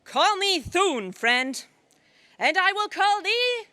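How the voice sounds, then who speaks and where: A woman's voice: very high voice, very animated, slow, bright, forceful tone, dry recording, heavily acted. A woman playing a fierce, noble queen of a lion people, with grand, archaic declamation in a 1930s radio serial style. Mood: proud, friendly.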